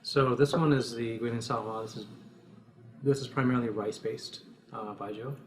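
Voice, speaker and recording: A man's voice: mid-range voice, fairly even intonation, normal speed, a little hiss or hum. A man with an American accent speaking casually as a bar co-founder, explaining things in an interview. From United States.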